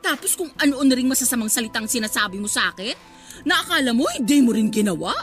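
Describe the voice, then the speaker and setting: A woman's voice: on the high side, lively intonation, fast talker, natural voice. A woman playing a vain, spiteful mistress in a Filipino radio drama, sassy, insolent and goading. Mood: taunting, spiteful. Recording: faint music bed behind the voice.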